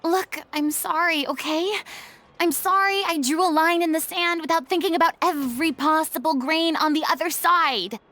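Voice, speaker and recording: A woman's voice: very high-pitched, moderate pace, pushed and bright. A woman voicing a proud but weary young former stage star in a game, theatrical and grand one moment and downcast the next. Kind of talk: game voice acting.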